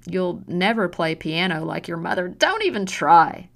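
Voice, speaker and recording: A woman voicing a drunk, cruel mother who taunts and shouts with harsh scorn, in a storybook reading. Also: fairly low pitch, unhurried. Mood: taunting, scornful. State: drunk, slurring. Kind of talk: audiobook narration.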